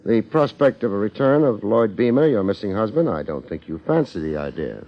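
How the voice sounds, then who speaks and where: An actor playing a wry, hard-boiled American detective with deadpan wisecracks, in 1950s radio drama style. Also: male voice, on the low side, fairly quick. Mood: sardonic, pressing. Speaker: detective and narrator. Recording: dull, band-limited 1950s radio recording.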